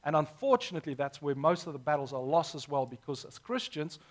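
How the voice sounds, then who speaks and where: A man, hoarse this morning, preaching a sermon as pastor with earnest, emphatic delivery. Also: on the high side, sing-song, fast.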